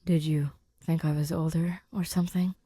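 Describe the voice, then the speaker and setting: A woman's voice: low-pitched, little pitch movement, moderate pace, warm tone, breathy edge, dry recording, clean studio sound. A woman playing a brooding, terse young man in his twenties, a veteran of space. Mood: dry.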